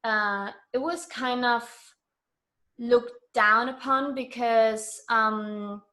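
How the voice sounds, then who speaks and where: A woman's voice: a little high, fairly even intonation, drawn-out words, sharp tone. A woman from East Germany with a German accent, talking earnestly and explaining her views as an interview guest. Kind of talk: reflective conversational interview.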